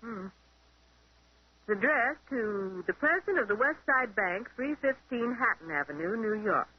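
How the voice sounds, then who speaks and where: An actress playing a bright, inquisitive young secretary in a 1930s radio mystery. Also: female voice, a little high, animated, a little slow, breathy edge. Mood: curious. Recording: muffled 1930s radio recording.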